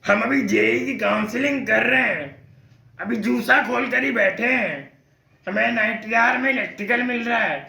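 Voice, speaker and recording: A man's voice: very high voice, normal speed, vocal fry, sharp tone, heavily acted. A man playing an impatient, irritable parent on a helpline call in a comic skit, exasperated and urgent, in an echoing room. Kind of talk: comic stage skit acting. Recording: roomy, echoing sound.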